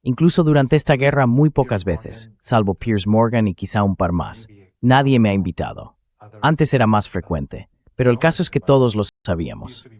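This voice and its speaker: A man speaks as a political commentator in an interview, with a fairly low voice and highly varied intonation. His delivery is conversational and emphatic, wandering into asides. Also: very animated, moderate pace, a little mellow. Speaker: guest commentator, professor.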